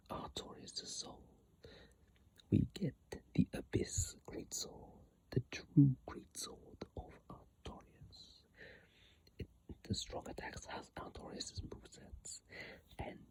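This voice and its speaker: A person whispering in an ASMR style, breathy and airy, reading aloud with informal, halting, unhurried asides into a phone microphone up close. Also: low voice, warm tone, dry recording, poor audio. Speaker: ASMR content creator. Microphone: iPhone microphone, close up.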